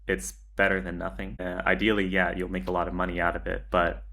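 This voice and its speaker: Low-pitched, even-toned man in conversation. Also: brisk, a little hiss or hum. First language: English. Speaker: podcast guest, agency founder. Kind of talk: casual interview conversation.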